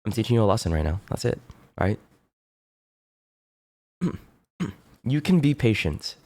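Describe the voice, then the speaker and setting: A male streamer chatting casually with viewers, sounding stuffy and congested, rambling and swearing in a laid-back way while clearing his throat often. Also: fairly low pitch, sing-song, unhurried, warm tone, dry recording.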